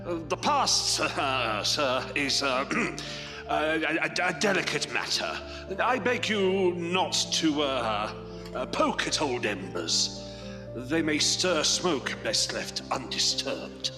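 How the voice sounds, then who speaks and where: An older man acting a proud, pompous, shabby-genteel father in a period audio drama, grandiose and halting when flustered. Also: on the high side, very animated. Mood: flustered, evasive. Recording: echoey hall reverb, music behind.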